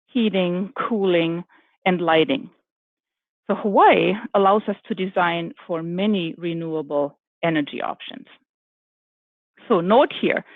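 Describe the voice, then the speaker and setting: A woman with a German accent, an architecture firm executive speaking on a panel in a measured way, with frequent ums and self-corrections. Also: lowish voice, no room sound. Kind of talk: panel talk. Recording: dry, phone-like video call audio.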